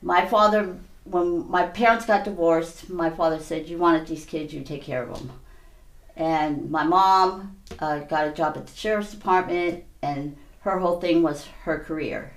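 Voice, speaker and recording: Woman, very low voice, casual storytelling, halting conversational delivery, roomy echo. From Hawaii and San Mateo County, California. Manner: conversational, halting, repeats "you know". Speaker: interview guest. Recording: roomy, echoey space.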